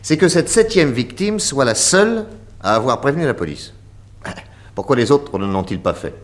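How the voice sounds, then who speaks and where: An actor playing a shrewd, doubting detective in a vintage French radio drama, pensive and questioning. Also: male voice, medium pitch, expressive, normal speed, natural voice.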